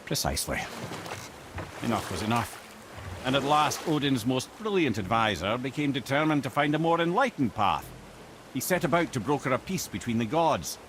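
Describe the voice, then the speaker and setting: A man's voice: medium pitch, sing-song, normal speed, background noise, close to an everyday voice. An actor playing a witty old male advisor and storyteller in a video game, eloquent and wry in his wordy delivery. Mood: storytelling. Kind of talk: video game cutscene acting. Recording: loud music behind the voice.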